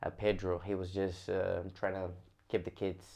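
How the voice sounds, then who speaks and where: A young Brazilian man with a Brazilian Portuguese accent, a fighter telling his story in a casual interview, speaking haltingly with many restarts. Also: sits low, monotone, vocal fry, darkish tone.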